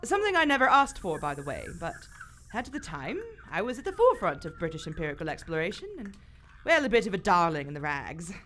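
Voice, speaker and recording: A woman's voice: fairly low pitch, sing-song, quick pace, a bit bright. A woman playing a poised, wry aristocratic British explorer in live radio theater, dry and commanding. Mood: sombre, reflective. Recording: live stage recording with faint noise.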